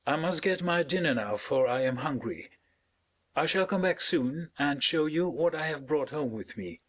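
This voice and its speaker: A woman voicing a genial, wealthy middle-aged father who speaks calmly in an audiobook. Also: deep voice, moderate pace, slightly rough, pitched below the performer's own voice. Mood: affable. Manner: calm, affable.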